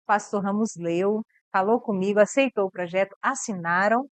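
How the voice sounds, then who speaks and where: Earnest Brazilian woman missionary, crisp voice. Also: mid-range voice, moderate pace, no echo. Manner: earnest, repeats words as she thinks. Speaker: missionary guest. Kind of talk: interview about mission work.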